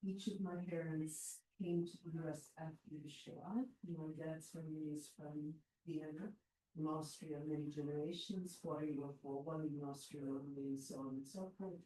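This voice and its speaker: A woman's voice: sits low, fairly even intonation, moderate pace, dark tone. A woman introducing herself and her family background in a matter-of-fact way, recorded from far off in an echoey room. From United States. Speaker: class participant. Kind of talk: casual self-introduction.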